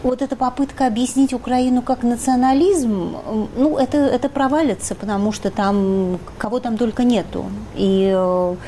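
A woman's voice: a little high, moderate pace, few pauses, warm tone, a little hiss or hum. A woman speaking as a studio guest in a panel discussion, putting her points assertively and arguing back. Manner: assertive, argumentative.

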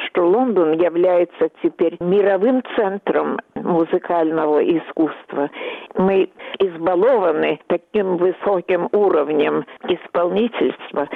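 An older woman with a slight English accent, a piano professor answering interview questions slowly and thoughtfully over a phone line. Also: lowish voice, gravelly, dry recording. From Lithuania. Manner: slow, thoughtful, measured. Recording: dry, tinny phone line.

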